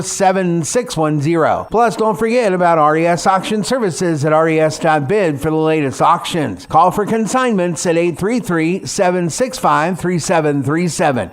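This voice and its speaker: A man with an American accent reading a scripted radio advertisement as a commercial announcer. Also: high voice.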